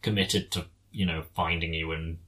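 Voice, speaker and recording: A man's voice: low voice, little pitch movement, normal speed, sharp tone. An English man in casual conversation about a film, thoughtful and hesitant, often trailing off mid-sentence. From England.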